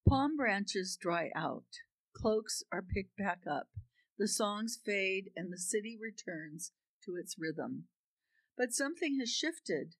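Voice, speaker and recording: A woman giving a calm, deliberate scripted reading. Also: mid-range voice, dry recording, low-quality recording. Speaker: reader.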